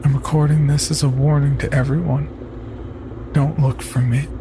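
An actor playing a haunted, disfigured young man narrating a first-person horror tale with somber, hushed dread. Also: fairly high pitch, flat delivery, moderate pace, noisy background, close to an everyday voice. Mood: tense, fearful.

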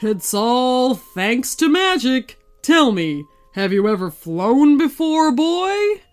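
A woman voicing an excitable, theatrical old male wizard in a children's story, friendly and grand, with drawn-out exclamations. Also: high voice, soft background music, heavily acted. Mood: mysterious.

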